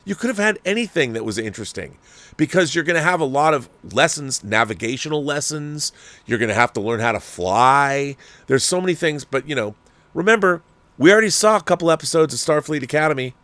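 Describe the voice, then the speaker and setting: An older man who hosts his own channel, giving a rambling, opinionated rant in a casual, animated way. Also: fairly high pitch, fairly quick, no room sound. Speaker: channel host. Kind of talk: ranting commentary.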